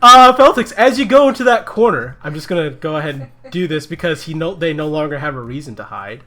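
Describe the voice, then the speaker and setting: A man narrating a tabletop game for his friends, his voice high and swinging widely in pitch. He keeps things loose and playful and laughs a lot. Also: lively intonation, brisk, pushed and bright, a little hiss or hum. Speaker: game master.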